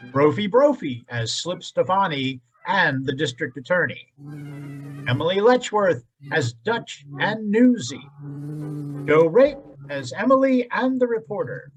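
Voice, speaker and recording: A man narrates as an old-time radio announcer. The delivery is slow and measured but rises and falls dramatically. Brass music plays underneath. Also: high voice, rough recording.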